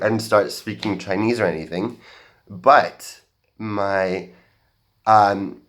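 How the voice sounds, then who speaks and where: Young man, American-sounding accent, earnest stage talk, halting restarts, slow pace. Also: on the low side. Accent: general American. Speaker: speaker at a talk. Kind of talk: public talk, personal story. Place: auditorium stage.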